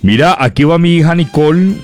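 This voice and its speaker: A man's voice: on the high side, lively intonation, fairly quick, darkish tone, soft background music. A man with a Costa Rican accent hosting a casual comedy radio show, chatting and sending greetings to listeners.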